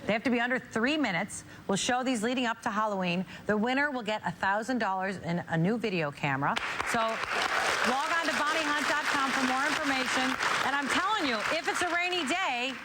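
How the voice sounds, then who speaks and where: A woman's voice: on the high side, animated, brisk, crowd noise. A middle-aged woman from Chicago hosting a studio talk show, jokey and self-mocking, laughing often. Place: TV studio with live audience. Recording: studio audience and room noise.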